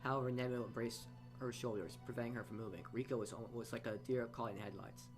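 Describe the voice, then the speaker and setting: A man's voice: mid-range voice, fairly even intonation, quick pace, music in the background. A man reading a game story aloud as he plays, narrating with side comments and often stumbling and repeating phrases. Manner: stumbles over words and rereads phrases. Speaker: let's player reading a visual novel aloud.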